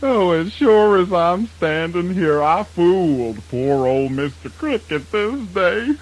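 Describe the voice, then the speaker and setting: A man voicing a boastful, gullible, easily flustered fox in a children's radio folk tale, with a husky, folksy Southern US delivery. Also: high-pitched, expressive, exaggerated voice. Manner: folksy, chuckling, drawn-out delivery.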